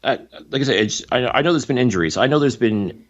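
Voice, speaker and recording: A man's voice: medium pitch, lively intonation, quick pace, dull audio. A man co-hosting a sports podcast, giving his opinions in casual, conversational commentary.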